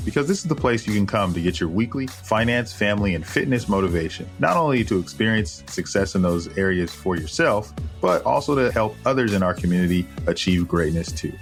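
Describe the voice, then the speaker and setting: A man's voice: fairly low pitch, expressive, a little fast, few pauses, faint music behind. A man hosting an interview podcast, chatting in an upbeat, friendly and loose way. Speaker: podcast host. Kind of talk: casual interview chat.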